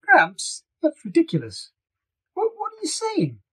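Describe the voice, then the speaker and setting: A man's voice: very high voice, wildly swooping pitch, measured pace, a bit bright, pitched above the performer's own voice, full character voice. A man voicing a sceptical, questioning fifteen-year-old girl footballer in an audiobook reading. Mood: incredulous. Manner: questioning, exclaiming. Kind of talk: acted dialogue in an audiobook reading.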